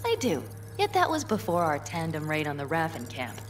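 A woman's voice: deep voice, wildly swooping pitch, a little fast, a little hiss or hum. An actress playing a headstrong, defiant young nomad woman in a video game, with an emphatic, sardonic delivery. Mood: determined, argumentative. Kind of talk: dramatic video game acting.

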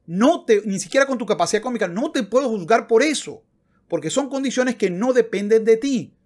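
A man's voice: high-pitched, darkish tone. A man in his thirties speaking emphatically and argumentatively, as in an opinionated video commentary, close to a desktop microphone. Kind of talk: opinionated commentary with passages read aloud. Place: book-lined room at home, indoors. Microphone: desktop microphone close in front.

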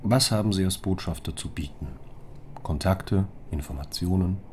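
An actor plays a male police investigator with a deep voice. He is direct, probing and businesslike in a crime radio play, over faint background noise. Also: normal speed, lightly acted. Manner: direct, questioning. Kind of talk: radio crime drama interrogation.

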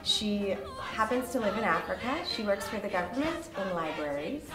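A woman's voice: medium pitch, lively intonation, moderate pace, echoey room. A woman, a stage actor, speaking sincerely about her mother in an interview.

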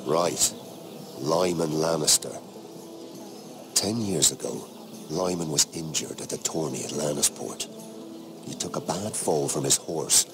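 An actor playing a courteous, wary middle-aged lord in a fantasy game, confiding gossip discreetly. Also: male voice, rumbling low, unhurried, pauses often. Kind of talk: dramatic fantasy game acting.

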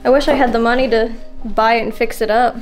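A young woman in her twenties vlogging on a handheld phone as she explores an abandoned house, chatty and excitable. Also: on the high side, fast, soft background music. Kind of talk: casual exploring vlog. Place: outdoors and inside an abandoned house. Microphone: handheld phone at arm's length.

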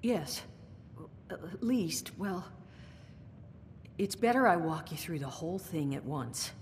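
A low, measured, weary woman boss. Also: expressive. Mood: measured, serious. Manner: measured, hesitant pauses, conversational. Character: weary, firm, compassionate woman, boss of a hideout. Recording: reverberant room, background noise.

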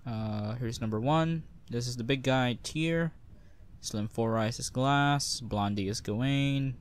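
A man's voice: mid-range voice, takes it slowly, clean tone, natural voice. A man playing a cocky, easygoing veteran sci-fi spy, talking in a casual, wry, joking way in an audio roleplay. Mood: casual, briefing. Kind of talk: conversational one-sided audio roleplay acting.

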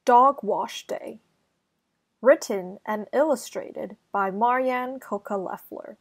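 A young woman reading a children's story aloud, enunciating carefully and deliberately for young listeners. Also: a little high. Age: young adult. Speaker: children's channel host and story reader. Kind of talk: slow, clear children's read-aloud.

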